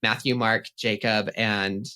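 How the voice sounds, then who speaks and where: A chatty, upbeat man speaks casually as a podcast guest. His voice sits somewhat low yet sounds bright and forward. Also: flat delivery, slow, smooth. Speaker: pet psychic guest.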